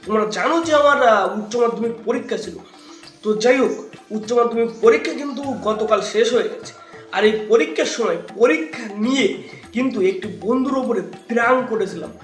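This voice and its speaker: A man's voice: very high-pitched, expressive, quick pace. A young man, addressing viewers as a vlog host. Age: young adult. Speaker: vlogger and channel host. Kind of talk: scripted-sounding vlog intro and outro to viewers. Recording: roomy echo with loud music. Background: music.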